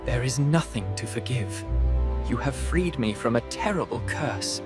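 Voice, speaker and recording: A man playing a gracious, forgiving young prince in an animated fairy tale, speaking formally and earnestly. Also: medium pitch, a little slow, music in the background, natural voice.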